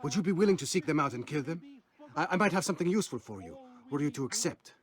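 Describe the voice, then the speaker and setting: A man's voice: on the high side, animated, fast talker, lightly acted. A man voicing a self-deprecating, anxious informant in a historical video game. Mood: rueful, asking.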